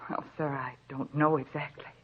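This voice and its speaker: An actress playing a frail, nervous, forgetful American housewife, hesitant and meek, in an old-time radio drama. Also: female voice, extremely low pitch, level tone, moderate pace, slightly rough. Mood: meek, confiding. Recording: dull, noisy old radio recording.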